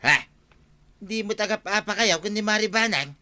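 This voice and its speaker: A man's voice: high-pitched, moderate pace, sharp tone. A man with an Ilocano Filipino accent playing an outspoken village son in a radio comedy-drama. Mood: teasing.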